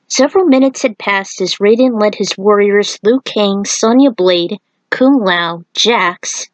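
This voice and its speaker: Female storyteller, medium pitch, informal author-narrator feel, dry recording. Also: dry recording, low-quality recording. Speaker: fan fiction author and reader. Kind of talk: storytelling narration with casual asides.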